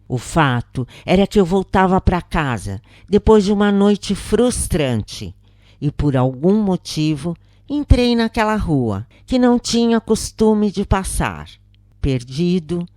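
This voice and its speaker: A Brazilian woman reading a scripted story as a narrator, in measured, deliberate phrases with pauses. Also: sits low, mellow, dry recording, studio quality. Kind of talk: scripted audiobook-style narration of a spiritist account.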